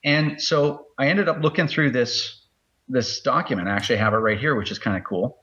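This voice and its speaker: Podcast guest, male, Canadian, conversational, average pitch, muffled low-fidelity line. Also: fairly quick, fairly bright tone, husky. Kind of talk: casual interview chat.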